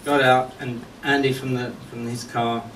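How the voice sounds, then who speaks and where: A man delivering a eulogy full of anecdotes, telling stories in short phrases with deliberate pauses. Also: mid-range voice, level tone, fairly bright tone. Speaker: friend giving a memorial tribute. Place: golf club function venue at a memorial service. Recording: echoey distant mic with background noise.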